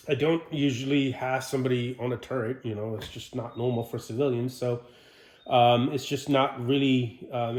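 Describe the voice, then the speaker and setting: A man giving an informal, unscripted equipment demonstration, explaining in a halting way and putting questions to the viewer. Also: medium pitch, darkish tone. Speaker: presenter of a military radio equipment walkthrough. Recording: roomy, echoing sound.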